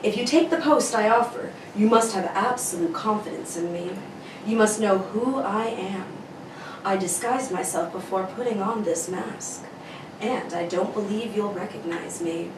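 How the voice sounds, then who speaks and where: A woman's voice: mid-range voice, natural voice. A woman playing a grave, formal masked government official in an amateur read of an old radio serial. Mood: solemn, commanding. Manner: solemn, formal, deliberate. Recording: roomy, distant mic, clearly noisy.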